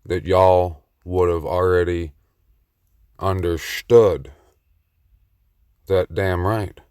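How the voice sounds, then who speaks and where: Male vlogger, low and unhurried, clear close dry voice, cocky rambling brag. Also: dry recording. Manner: boastful and playfully cocky; slow, meandering delivery. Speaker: solo vlogger on the mic. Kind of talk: rambling self-recorded monologue.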